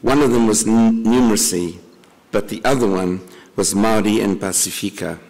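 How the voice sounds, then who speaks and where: A man introducing a conference plenary session, with dry deadpan jokes and frequent throat clearing. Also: on the low side, normal speed. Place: conference hall. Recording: long hall reverb.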